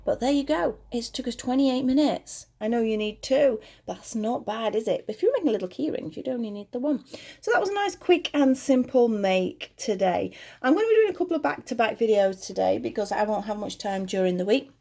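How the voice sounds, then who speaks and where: British lady doing a chatty crochet tutorial at home, bit croaky, gravelly edges, voice bounces up and down. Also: a little high, lively intonation, fairly quick, warm tone. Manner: friendly, rambling, talks while working. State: a little croaky.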